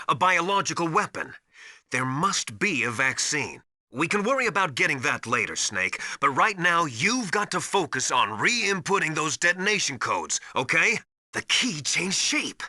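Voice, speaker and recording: Commanding game mentor, very forceful bright tone, lively swooping pitch, didactic, emphatic. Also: male voice, no room sound, studio quality, exaggerated voice. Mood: grave, cautioning. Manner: didactic, urgent, emphatic instruction. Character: knowledgeable, commanding male military survival mentor called Master. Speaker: radio support advisor. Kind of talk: video game voice acting.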